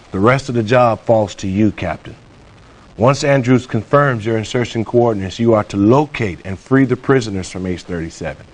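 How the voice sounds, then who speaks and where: Man playing army officer doing a game mission briefing, lowish voice, formal and grave. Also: lightly acted, low-quality recording. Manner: measured, formal. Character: grave, businesslike male military briefing officer.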